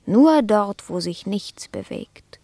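An actress playing an indolent, fastidious pet cat who philosophizes in a languid, haughty radio-play manner, savoring the words. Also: female voice, medium pitch, expressive, mellow, dry recording. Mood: haughty, aloof. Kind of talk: German radio play acting.

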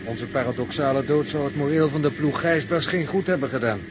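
An actor playing a pedantic, unruffled technical expert who speaks in precise, bookish phrases in an old radio drama. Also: male voice, a little high, brisk, close to an everyday voice. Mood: dry, detached. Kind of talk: 1960s radio drama acting. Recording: noisy old radio recording, vehicle hum.

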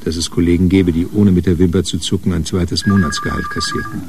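An actor reading brooding literary narration as the narrator of a radio crime drama. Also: male voice, sits low, huge pitch swings, brisk. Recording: guitar music behind voice.

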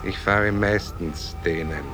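An actor playing a droll, philosophising Viennese taxi driver in a radio drama, with a quirky deadpan manner. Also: male voice, low voice, slow, music in the background.

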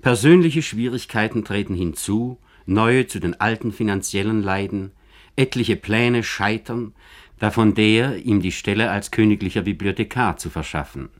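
A male narrator with a fairly low voice reads a biographical radio feature. His delivery is formal and measured, clearly scripted.

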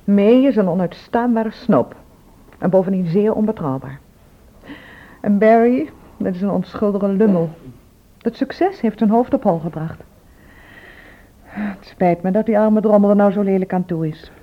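An actress playing a frank, sharp-tongued, wry woman shopkeeper in a radio drama. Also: medium pitch, unhurried, pauses often, close to an everyday voice. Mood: frank, concerned. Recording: thin phone-like old radio recording.

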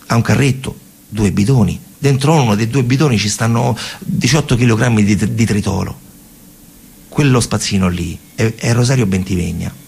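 A man performing dramatic theatrical storytelling, in short emphatic phrases with repetitions and deliberate pauses. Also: fairly low pitch, vocal fry, darkish tone, breathy edge. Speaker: stage storyteller, actor performing a narrative monologue.

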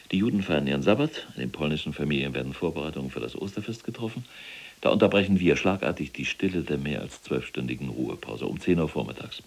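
Jailed SS general for radio drama: extremely low, creaky voice, cold, boastful, clipped military reporting. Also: male voice, heavily acted. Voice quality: gravelly. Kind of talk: radio drama monologue, recounting events.

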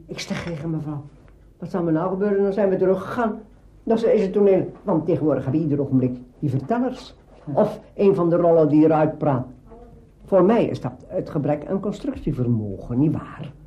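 Older man, very mellow, higher voice. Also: expressive, brisk. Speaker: interviewee, veteran radio drama maker. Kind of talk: spontaneous anecdotal talk. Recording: dull, roomy archive recording.

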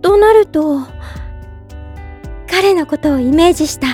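A woman playing a gentle, polite young woman in love, narrating in character for a drama CD with sweet earnestness. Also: extremely high pitch, measured pace, faint music behind. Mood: thoughtful, earnest.

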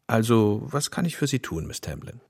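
An actor playing a dry, tactful, world-weary police detective in an audio drama, with a measured, husky delivery. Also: male voice, on the low side, big pitch swings, full character voice. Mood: tactful, calm. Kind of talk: German audio drama acting.

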